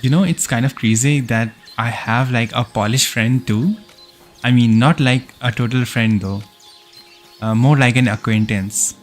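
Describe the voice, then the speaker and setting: A man casually commenting while streaming a game, chatting with viewers and thinking out loud. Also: mid-range voice, unhurried, faint music behind. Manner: chatty, answers chat, thinks aloud with fillers.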